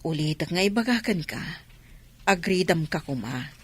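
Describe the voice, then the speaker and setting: A woman's voice: fairly low pitch, lively intonation, moderate pace, slightly rough. A woman playing a hurt, loyal woman in an Ilocano radio drama. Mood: pleading.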